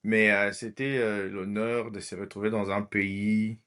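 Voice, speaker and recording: Hesitant Congolese man, lowish voice. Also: little pitch movement, measured pace, fairly bright tone, clean tone. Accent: Congolese French. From Democratic Republic of the Congo. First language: Lingala. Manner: hesitant, many fillers, drops in English words. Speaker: podcast guest. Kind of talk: casual interview talk.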